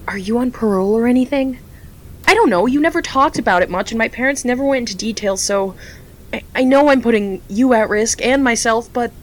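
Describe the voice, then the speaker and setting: An actress playing an earnest, hesitant young woman who works for a charity. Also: on the high side. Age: young adult.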